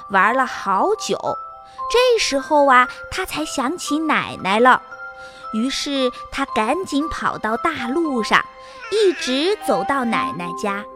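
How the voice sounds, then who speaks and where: A woman narrating a fairy tale for children in an expressive storytelling manner. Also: high-pitched, big-room sound. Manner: expressive, spoken to young listeners with sentence-end particles. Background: music.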